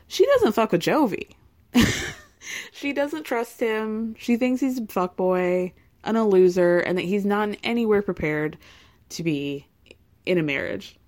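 A woman's voice: fairly high pitch, darkish tone. A woman hosting a podcast, giving a casual, gossipy recap of a show with frequent laughs and filler words.

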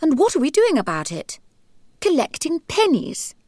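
Woman doing a dramatic book reading, really animated, indignant, highish voice. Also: moderate pace, no echo, studio quality. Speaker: actress reading.

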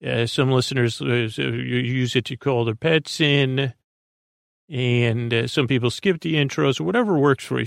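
A man with a creaky voice hosting a sleep podcast, meandering through a soothing, hesitant bedtime monologue full of tangents. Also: medium pitch, no room sound, clean studio sound. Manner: soothing, lulling delivery. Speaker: podcast host.

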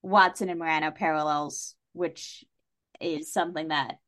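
A woman's voice: low-pitched, steady tone, normal speed, a bit bright. A woman from New England with an American accent, talking casually and emphatically in a literary discussion, with a sardonic edge. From New England, United States. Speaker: podcast guest.

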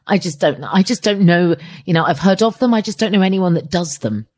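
A woman's voice: fairly low pitch, expressive, fairly quick, darkish tone. Chatty middle-aged woman hosting. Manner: chatty, storytelling, frank. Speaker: podcast host. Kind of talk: casual chat.

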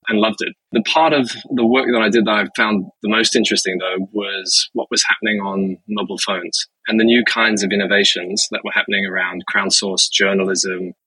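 A man from Zimbabwe, a business executive answering interview questions in a measured, explanatory way with frequent fillers. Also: fairly low pitch, steady tone, sharp tone, slightly rough, no echo, rough recording.

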